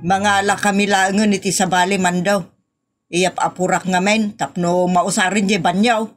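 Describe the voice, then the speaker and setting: A woman's voice: medium pitch, level tone, normal speed, faint music behind, close to an everyday voice. An actress with a northern Philippine accent playing an impatient, nagging woman in a comedy audio drama.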